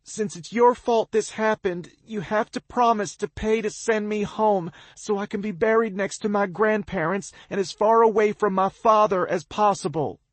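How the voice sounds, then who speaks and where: A terrified, tearful young woman cries out in a high, strained voice, biting back with sharp retorts between sobs, as a male narrator puts her on. The sound is dry and close. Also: moderate pace, hardly any pauses, no echo, lightly acted. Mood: panicked. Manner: shrill, tearful and sobbing.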